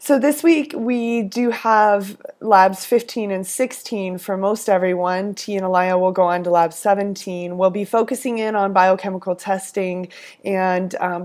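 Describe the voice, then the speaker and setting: A hoarse woman coordinating a lab course, briefing teaching assistants in a friendly, instructive way with frequent fillers and restarts. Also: medium pitch, a little mellow. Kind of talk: recorded weekly instructional briefing.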